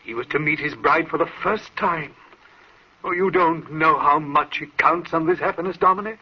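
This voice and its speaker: An actor playing a devoted, anxious servant in an old-time radio drama, earnest and emotional. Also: male voice, fairly high pitch, moderate pace, slightly rough. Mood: fearful, awed. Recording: muffled, hissy old radio recording.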